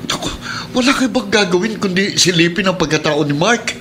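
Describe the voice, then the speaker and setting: A man's voice: sits high, animated, fairly quick, fairly bright tone, a little hiss or hum. An actor playing a kind, protective middle-aged Filipino policeman and adoptive father in a radio drama. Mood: exasperated. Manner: earnest, encouraging, heated when challenged.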